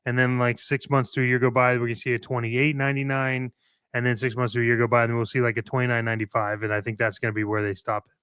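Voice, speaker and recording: A man's voice: medium pitch, little pitch movement, quick pace, a bit bright, clear, resonant tone, no echo. A man co-hosting a hobby show, chatting informally and stressing his points by repeating them. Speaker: co-host of a toy collecting show. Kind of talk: casual chat and opinion.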